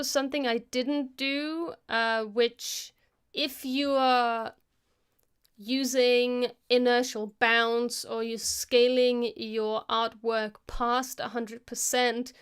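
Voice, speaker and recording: A woman hosting a live stream, casually talking through a software tutorial as she works, thinking aloud into a close microphone. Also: a little high, smooth. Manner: casual, chatty. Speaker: host of an animation live stream.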